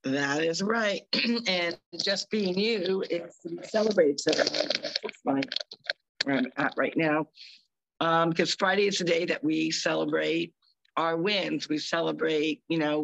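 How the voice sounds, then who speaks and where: A woman co-hosting a live talk show over a video call, hoarse and scratchy, chatting in an upbeat, encouraging way. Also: low-pitched, a bit bright, dull audio. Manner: upbeat, encouraging, rambling asides. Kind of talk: casual motivational chat.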